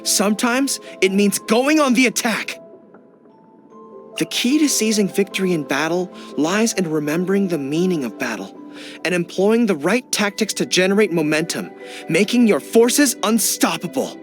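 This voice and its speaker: An actor playing an earnest, brave young beast-eared general in a video game, speaking politely with a soldierly manner. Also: male voice, high-pitched, very animated, normal speed, faint music behind. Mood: resolute. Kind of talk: scripted video game character voice lines.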